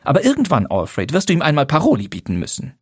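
A man reading a wife's lines, voicing a slender, energetic woman who speaks dryly and defiantly. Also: a little high, fast talker, husky, dry recording, voice pushed up, heavily acted. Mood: scornful. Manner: dry, biting, defiant. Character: energetic slender sharp-tongued wife.

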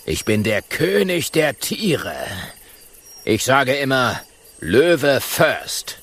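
A man voicing a vain, pompous male lion king with a deep, slow, rumbling growl, in a children's audiobook. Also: very animated, fairly bright tone, full character voice. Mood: smug. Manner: self-important, drawling.

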